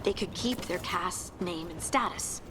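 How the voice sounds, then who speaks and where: A woman's voice: lowish voice, unhurried, background noise, lightly acted. An actress playing an earnest, searching young dwarf woman in a video game, speaking in a wistful, conversational way. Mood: explaining. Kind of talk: video game dialogue acting. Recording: game music behind the voice. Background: game soundtrack music.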